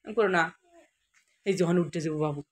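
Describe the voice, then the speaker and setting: A woman's voice: on the low side, quick pace. A woman with a Bangladeshi accent, a mother casually narrating her home vlog and chatting with family. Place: indoors at home. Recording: household noise, clattering dishes.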